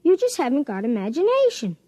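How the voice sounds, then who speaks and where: A know-it-all boy of six, high-pitched and animated. He explains things matter-of-factly in old radio drama style, in a dry studio sound. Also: brisk, dry recording. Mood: scornful, superior. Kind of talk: 1950s radio drama acting. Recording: dry vintage 1950s radio recording.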